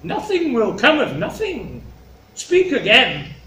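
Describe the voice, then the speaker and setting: Man acting a stately, high-pitched vain old king. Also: wildly swooping pitch, sharp tone, exaggerated voice. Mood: demanding. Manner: slow, stately, commanding declamation. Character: vain old king demanding flattery from his daughters. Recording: roomy, distant mic, faint noise.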